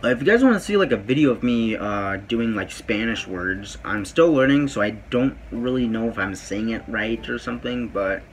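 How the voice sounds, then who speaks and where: A teenage boy with a deep voice, rambling casually to his phone camera in a small bedroom, with lots of fillers. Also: lively intonation, normal speed, hardly any pauses, a little hiss or hum, poor audio. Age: teens. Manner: rambling, many fillers and "freaking". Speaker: vlogger. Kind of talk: casual vlog talk to camera. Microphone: phone camera at close range.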